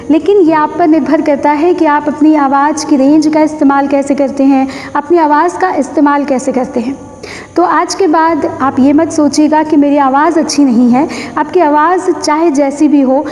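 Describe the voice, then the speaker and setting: A woman's voice: high-pitched, warm tone, a little hiss or hum. A woman giving an instructional talk as a channel host, explaining and repeating key points for emphasis. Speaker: host of a public speaking tips channel. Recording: long echoing room reverb.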